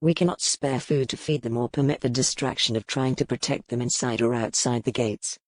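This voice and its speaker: A man's voice: medium pitch, fairly even intonation, fairly quick, no echo, lightly acted. A man playing a grim, loyal medieval man-at-arms in an audiobook, formal and sober as he addresses his lord. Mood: grim, dutiful. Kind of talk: dramatized audiobook dialogue.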